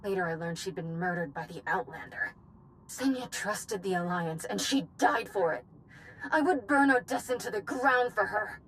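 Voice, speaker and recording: An actress playing a solemn, resolute former knight who grieves and wants revenge, in a dramatic video game cutscene. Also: female voice, mid-range voice, animated, normal speed, fairly bright tone, a little hiss or hum, lightly acted, poor audio. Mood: bitter, vengeful.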